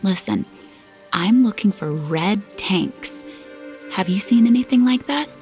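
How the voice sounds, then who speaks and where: An actress in a scripted audio drama playing a young, inquisitive princess, earnest and questioning, sometimes dropping into hushed asides. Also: female voice, mid-range voice, expressive, measured pace, soft background music. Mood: gentle, coaxing. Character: young inquisitive princess and future queen, quietly probing, soft-hearted.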